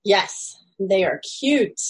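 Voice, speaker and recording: Unhurried woman reading chat answers. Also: mid-range voice, big pitch swings, slightly rough. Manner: lists items one by one. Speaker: co-host reading out chat responses. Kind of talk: reading a list aloud.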